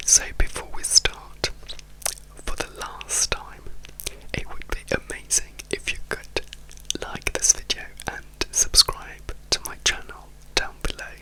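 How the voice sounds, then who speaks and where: Man, ASMR whisper, unhurried, bright airy whisper, halting restarts. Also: poor audio. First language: Italian. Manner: soft, slow, intimate whisper with frequent restarts. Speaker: ASMR YouTuber. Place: indoors, home room.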